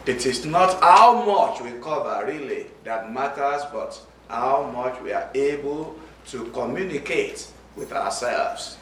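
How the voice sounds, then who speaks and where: Slow-spoken Nigerian preacher in an echoey room. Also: male voice, medium pitch, expressive, fairly bright tone, recorded from a distance, slight background noise. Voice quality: speaks slowly and distinctly. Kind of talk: slow, deliberate sermon with scripture reading.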